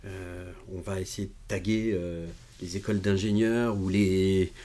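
A man's voice: low voice, flat delivery, unhurried, warm tone. A man hosting a casual interview, often repeating words as he feels his way towards a question. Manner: hesitant, repeats words while forming questions. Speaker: podcast host, interviewer.

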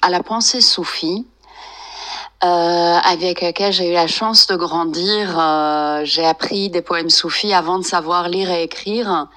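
Slow, hesitant woman with a bright voice. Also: lowish voice, fairly even intonation. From Iran, raised in France. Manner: slow, hesitant, many fillers. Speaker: author and meditation guest. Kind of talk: reflective interview answers.

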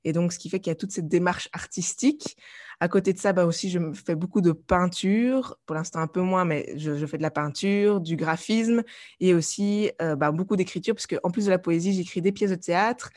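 A woman's voice: fairly low pitch, brisk, darkish tone. Hesitant woman guest. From Belgium. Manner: hesitant, many fillers and restarts. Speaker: guest, life coach and artist. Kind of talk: conversational interview.

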